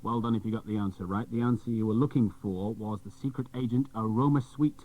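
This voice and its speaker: A man's voice: lowish voice, steady tone, a little fast. A man presenting scripted links on a children's radio programme. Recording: muffled, band-limited old cassette radio recording.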